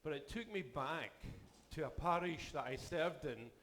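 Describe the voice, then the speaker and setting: A man serving as bishop, delivering a formal address to a church assembly while losing his voice, with a measured pace and sentences that trail off. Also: fairly high pitch, animated, a bit bright, a little hiss or hum. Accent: Canadian.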